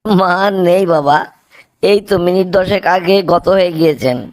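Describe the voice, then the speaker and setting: High, plaintive grieving older father played by a man. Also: moderate pace, darkish tone, close to an everyday voice. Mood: grieving, reproachful. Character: grieving older father, reproaching his son. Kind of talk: amateur cartoon dialogue.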